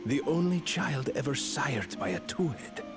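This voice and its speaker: An unhurried, swooping male schemer from a video game played by an actor. He is oily and grandiose, flattering his master while coldly ambitious, with music playing underneath. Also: mid-range voice, close to an everyday voice. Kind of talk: video game cutscene acting.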